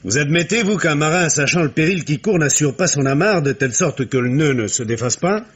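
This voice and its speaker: A man's voice: on the high side, expressive, fairly quick, fairly bright tone, breathy edge. A man playing a coaxing, probing investigator. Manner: coaxing, insistent questioning. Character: probing male investigator Monsieur Bourret, pressing a witness. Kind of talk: 1950s French radio drama acting. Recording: old radio recording with faint hiss.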